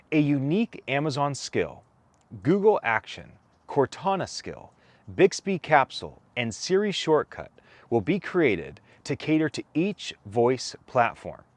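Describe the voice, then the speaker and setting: A man narrates a written paper aloud in a close, dry recording. His pitch moves in a lively way, and he sets out his phrases deliberately, giving weight to individual items. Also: no echo. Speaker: co-author reading a whitepaper aloud. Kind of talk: read-aloud document narration.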